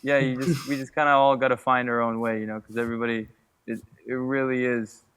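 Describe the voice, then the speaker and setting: A man's voice: mid-range voice, level tone, a little fast, a bit bright, smooth. A young man rambling as a guest on a casual podcast interview, packing his talk with fillers and going off on tangents. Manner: rambling, full of "like", chuckling.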